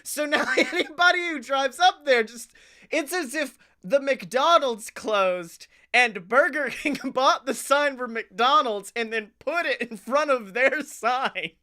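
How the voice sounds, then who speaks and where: An American man co-hosting a comedy podcast, telling stories casually in an animated, sweary way with chuckles in his speech. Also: extremely high pitch, slow pace. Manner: animated, swearing, often chuckling while talking. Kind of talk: casual comic storytelling.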